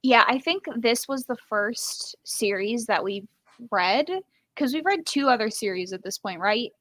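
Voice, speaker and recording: A woman with a slightly high voice chats as co-host of a book readalong, recorded dry with no room sound. Her delivery is informal and wry, full of casual "likes". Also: measured pace, a bit bright, no echo. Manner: wry, conversational, uses lots of "like".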